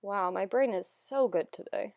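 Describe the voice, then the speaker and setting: Chatty young woman, gravelly and dry. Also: mid-range voice, normal speed, darkish tone, no room sound. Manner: chatty, self-deprecating, rambling with fillers. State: slightly hoarse, recovering from illness. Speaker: beauty vlogger. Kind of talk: casual voiceover for a makeup tutorial.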